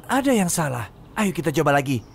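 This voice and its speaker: A woman playing a cheerful, kind young male tank engine in an expressive, earnest children's cartoon dub. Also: sits low, a little fast, gravelly, a little hiss or hum, heavily acted. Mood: puzzled, disappointed. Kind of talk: dubbed children's cartoon acting. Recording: loud music behind.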